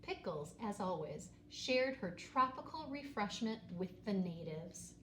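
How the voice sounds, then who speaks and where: A woman reads a children's picture book aloud in an expressive, dramatic way, pausing and stressing key words. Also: medium pitch. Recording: roomy echo, faint background noise.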